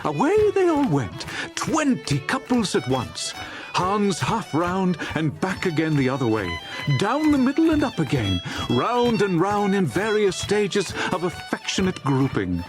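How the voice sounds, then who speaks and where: A man's voice: high voice, huge pitch swings, measured pace. A man narrating a dramatized storybook in a theatrical, jovial manner. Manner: theatrical, jovial, relishing lists. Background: music.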